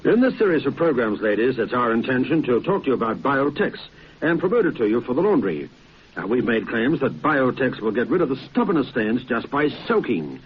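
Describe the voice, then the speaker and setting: A man giving a formal, courteous, old-fashioned sponsor's pitch on the radio. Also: big pitch swings, a little fast. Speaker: advertisement presenter. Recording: dull, faintly noisy old radio recording.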